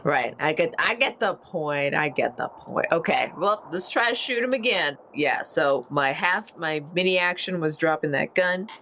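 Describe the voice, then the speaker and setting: Tabletop player, mid-pitched voice, informal, thinking aloud, joking, noticeable background noise. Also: expressive, moderate pace, gravelly, few pauses, bright, forceful tone. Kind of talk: casual chat during a tabletop game.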